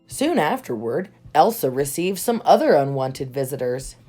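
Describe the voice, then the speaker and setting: A woman reading a children's storybook aloud in expressive narration, with dramatic emphasis on key words. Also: sits low, normal speed. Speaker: storybook reader. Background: soft music.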